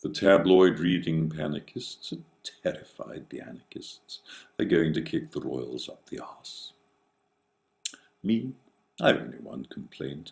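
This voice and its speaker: A man reciting comic rhyming verse theatrically, in a wry, mock-grand manner with drawn-out dramatic phrasing. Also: low-pitched, vocal fry, a little mellow. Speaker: author reading own satirical verse.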